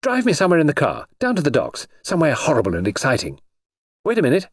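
A man's voice: on the high side, wildly swooping pitch, quick pace, slightly rough, no echo, clean studio sound, pitched above the performer's own voice, heavily acted. A man voicing a thrill-seeking, languid young society lady in an audiobook, with a gushing upper-class drawl. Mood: restless, excited. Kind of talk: audiobook character voice.

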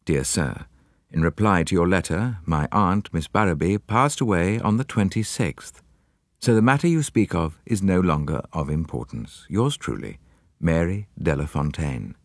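A curt, formal niece's reply, read by a man in a low voice. It is stiff and brief, with a dry, close sound. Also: animated, normal speed, no room sound.

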